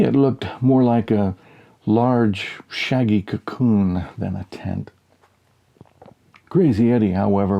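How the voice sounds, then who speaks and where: A man narrating a humorous short story as an audiobook, with dry, measured storytelling. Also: on the low side, vocal fry, warm tone.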